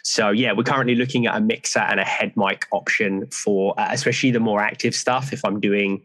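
Low-voiced man, relaxed fitness coach. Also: fairly quick. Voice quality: deeper voice. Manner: relaxed, rambling with fillers like "so yeah". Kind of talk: casual interview chat.

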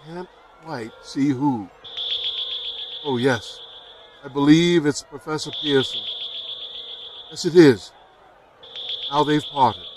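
Over a clearly noisy field broadcast, a frightened male radio reporter , played by an actor, gives eyewitness commentary in old 1930s radio drama style. His voice sits fairly high for a man. He speaks very slowly and haltingly, in awe, with dramatic pauses. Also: natural voice. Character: awestruck, increasingly terrified male radio news reporter.

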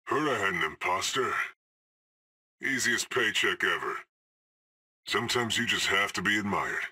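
A man's voice: on the low side, animated, a little slow, pushed and bright, husky, heavily acted. An actor playing a cocky, cool male hired assassin in a video game, trading dry, sardonic one-liners.

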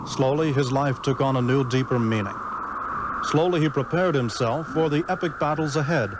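A man narrates in the style of a 1960s radio serial, measured and portentous. His medium-pitched voice comes through an old broadcast recording with loud noise behind it.

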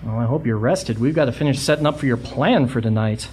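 A woman's voice: extremely low pitch, quick pace, a little mellow, slight background noise. A woman playing Billy, a young, skeptical and nervous sidekick in a comic radio drama.

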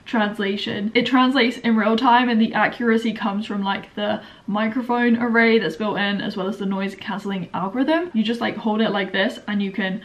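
A woman's voice: mid-range voice, fairly even intonation, moderate pace, hardly any pauses. A young woman in her twenties with an English accent, chatting casually in a vlog and rambling with lots of fillers. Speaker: vlogger.

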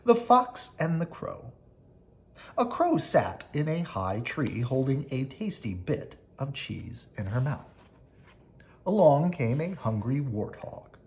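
Slow, very expressive storybook reader. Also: medium pitch. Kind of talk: expressive children's read-aloud narration.

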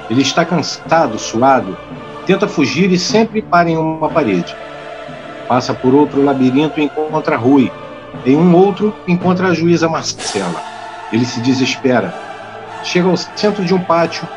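A man's voice: medium pitch, dull audio. A man reading scripted narration for an audio drama. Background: music.

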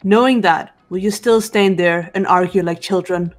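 A woman's voice: on the low side, close to an everyday voice. A woman as a composed teenage girl over music. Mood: calm, stern. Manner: measured, stern. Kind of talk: amateur video game voice-over. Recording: background music throughout.

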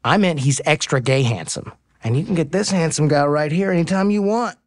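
A man's voice: fairly high pitch, animated, fairly quick. An actor playing a cocky, teasing male pro baseball player in a romantic comedy audio drama. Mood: teasing, unbothered.

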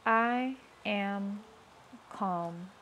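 A woman slowly and calmly leading guided self-affirmations, repeating each line twice. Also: mid-range voice, a little hiss or hum. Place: outdoors on a rainy day.

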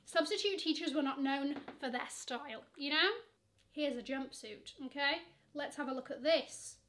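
A woman's voice: high-pitched, normal speed, a bit bright. A young woman chatting through luxury online shopping, sassy and gushing, calling bags "she" while seated at her camera. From England. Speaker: fashion vlogger. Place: bedroom with shelves of shoes.

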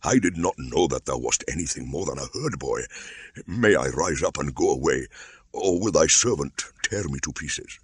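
A humble old hunter in a storybook, acted by a man. He pleads fearfully in a low, husky hush. The voice is rough and submissive. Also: animated, moderate pace, no room sound, heavily acted. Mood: fearful pleading. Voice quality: husky whisper.

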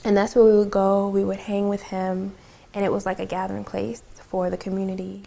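A woman's voice: mid-range voice, little pitch movement, a little fast, vocal fry, mellow. A woman in her thirties, a bookstore owner, talking earnestly in an interview, with frequent "um" and "you know". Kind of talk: on-camera interview. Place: small indoor bookstore.

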